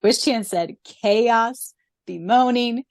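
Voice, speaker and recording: A woman's voice: a little high, slow. A woman co-hosting a casual podcast, reading out questions and an author's answers and laughing often. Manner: giggly, reads answers aloud. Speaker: podcast co-host.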